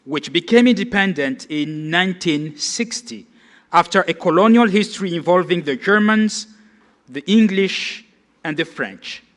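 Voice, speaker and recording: Cameroonian man in his forties, high voice. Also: expressive, fairly bright tone. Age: 40s. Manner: measured, formal delivery. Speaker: conference speaker. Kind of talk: reading a prepared lecture.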